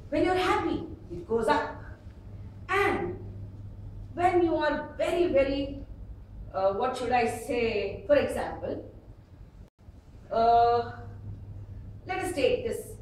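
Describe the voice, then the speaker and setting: In a heavily reverberant room, a little far from the mic with faint noise, a South Indian-accented woman teaches public speaking. Her high voice swings widely in pitch as she demonstrates examples. The delivery is expressive, humorous and theatrical. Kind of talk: animated workshop talk with acted examples and anecdotes. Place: indoor meeting room before an audience.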